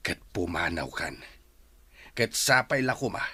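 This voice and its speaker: A man's voice: low-pitched, very animated, a little slow, bright, forceful tone, slightly rough, heavily acted. An actor playing a stern, blunt middle-aged father in a radio drama, firm, emphatic and melodramatic. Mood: stern, rejecting. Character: stern, blunt father rejecting a woman's love.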